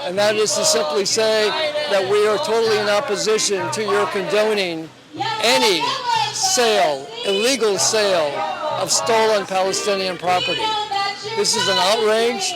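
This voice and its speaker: Indignant protester over a loud crowd. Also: medium pitch, expressive, moderate pace. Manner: indignant, forceful. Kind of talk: rally speech. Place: outdoors on a city street.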